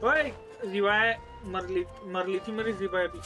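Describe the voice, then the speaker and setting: A man's voice: high voice, steady tone, very fast, over music. A man with an Indian accent giving casual live gaming commentary, repeating short reactions and switching between languages. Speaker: game streamer.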